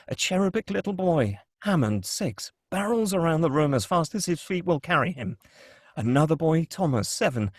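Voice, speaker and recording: A man reading out stage directions at a table read with a steady, descriptive delivery. His voice sits a little high and has a husky edge. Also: dry recording.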